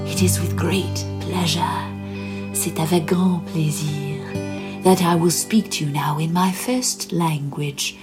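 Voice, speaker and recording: A woman from Alberta with an Alberta farm French accent, reciting bilingual spoken word poetry in a slow, emphatic, defiant way. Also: on the low side. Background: guitar music.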